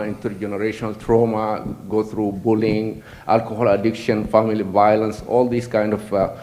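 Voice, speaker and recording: A man's voice: fairly low pitch, warm tone. A man from Sudan giving an earnest public comment at an assembly, often repeating and restarting his words. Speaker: audience member at an assembly.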